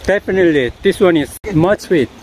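Man, Korean accent, native Korean speaker, high voice, speaks very slowly, exclaims often, faint outdoor noise. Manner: exclaims often, simple halting English. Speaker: travel vlogger. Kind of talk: casual vlog chat. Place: outdoors by a rural roadside.

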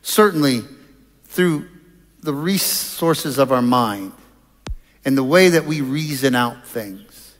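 A middle-aged man preaching an explanatory chapel sermon in a measured, deliberate way with pauses, on a headset mic in a large auditorium. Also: mid-range voice, expressive. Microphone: headset microphone. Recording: reverberant hall sound.

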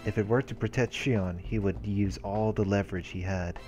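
Very deep-voiced man reading a story. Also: steady tone, moderate pace, darkish tone. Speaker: let's play host reading visual novel text aloud. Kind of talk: narration read aloud with brief casual commentary. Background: game music.

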